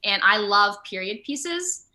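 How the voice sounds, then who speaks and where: A woman with a bright, forward voice talks casually as an interview guest. She is an animated performer who speaks at an everyday mid pitch and likes to act out bits of what she describes. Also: takes it slowly. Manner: animated, acts out quoted remarks. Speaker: interview guest, video game and voice actor.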